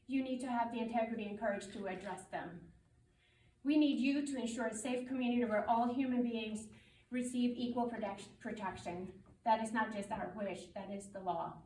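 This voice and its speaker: A woman reading a prepared public statement as a community spokesperson, in a formal, firm and deliberate way. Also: a little high, darkish tone. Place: public meeting room. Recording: roomy meeting hall, distant mic, faint noise.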